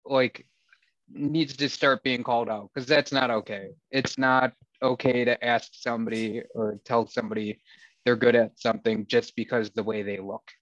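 A man's voice: mid-range voice, fairly even intonation, normal speed, fairly bright tone, dry recording. Sarcastic wisconsin guy, dry wit, kinda muffled lo-fi audio. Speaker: guest. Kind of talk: candid conversational talk. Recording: dull lo-fi sound, dry.

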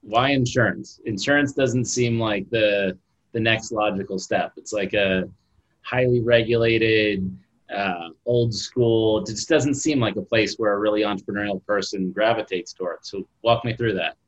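A man's voice: fairly low pitch, moderate pace, lo-fi sound. A man hosting a podcast, reading an intro and then asking friendly questions. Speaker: podcast host. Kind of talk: scripted intro and interviewing.

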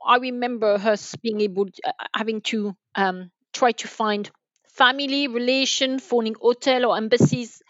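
A woman's voice: on the high side, steady tone, normal speed, no echo. A woman guest explains things from experience in an interview. She is wordy and emphatic, often restarting a phrase. The sound is close and dry, a little dull and lo-fi. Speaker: guest, enterprise sales manager.

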